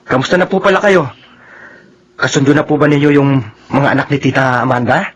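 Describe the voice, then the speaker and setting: An actor playing a respectful, protective son in a Filipino radio drama, with a Filipino accent. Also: male voice, medium pitch, a little fast, breathy edge, lo-fi sound, lightly acted. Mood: cheerful, caring.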